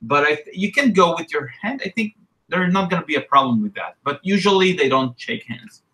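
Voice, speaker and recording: A man with an Israeli accent, co-hosting a relaxed live chat. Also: a little high, fairly quick, poor audio. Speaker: co-host.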